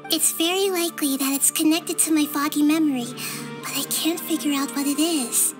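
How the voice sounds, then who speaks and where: A woman voicing a gentle, wise, child-sized nature deity in a video game, speaking in a calm, thoughtful, articulate way. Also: high-pitched, moderate pace, lightly acted. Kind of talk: scripted video game dialogue. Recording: game music behind.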